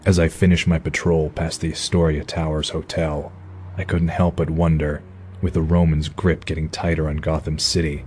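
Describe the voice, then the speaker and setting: A man voicing a brooding masked vigilante, narrating in a terse, gruff, hard-boiled manner. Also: rumbling low, little pitch movement, normal speed, darkish tone, a little hiss or hum. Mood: grim. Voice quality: husky, gruff. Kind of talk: audio drama narration. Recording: faint music behind.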